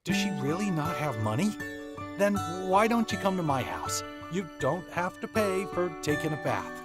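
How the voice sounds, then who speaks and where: Woman voicing an earnest young man, low-pitched and animated. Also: moderate pace. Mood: eager, reassuring. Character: earnest, generous young male student smitten with a girl. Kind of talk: manga dub dialogue and first-person narration. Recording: music behind the voice. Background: background music.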